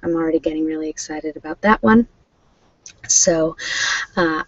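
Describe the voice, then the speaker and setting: A woman's voice: lowish voice, creaky, a little mellow, no room sound, highs cut off. A woman hosting an ASMR relaxation stream, chatting informally and a little hesitantly straight to her viewers. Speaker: host of a guided relaxation and meditation channel. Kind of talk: casual ASMR livestream chat.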